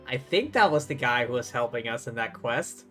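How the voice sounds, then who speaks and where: A man's voice: mid-range voice, lively intonation, normal speed, fairly bright tone. A man doing casual live game commentary, chatty and thinking aloud as he plays. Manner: chatty, mimics lines mockingly, thinks aloud. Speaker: game streamer. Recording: faint game music behind.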